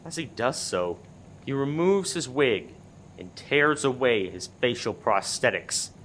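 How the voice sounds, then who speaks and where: A man narrating a scripted comic murder mystery as animated storytelling, with noise in the background. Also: medium pitch, measured pace. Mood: suspenseful. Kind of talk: scripted radio play narration.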